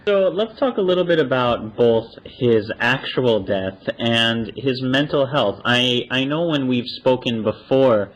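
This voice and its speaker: A man's voice: mid-range voice, expressive, unhurried, hardly any pauses. A man hosting a podcast, moderating a panel discussion with questions for the guests. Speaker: podcast host. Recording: muffled lo-fi audio.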